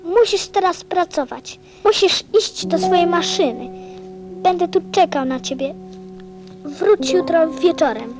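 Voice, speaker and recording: A gentle little boy prince in a radio play. The child speaks earnestly and without hurry, in a high, solemn voice. Music plays clearly underneath. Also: animated, unhurried. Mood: solemn, wistful.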